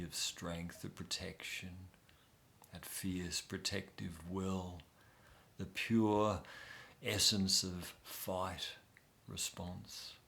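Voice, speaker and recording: A man guiding a soft, soothing inner-child meditation with long pauses. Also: deep voice, creaky, slight background noise. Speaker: meditation guide.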